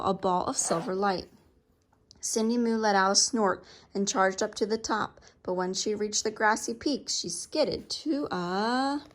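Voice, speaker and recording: A woman reading a picture book aloud to children in an expressive storytelling style. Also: mid-range voice, slight background noise.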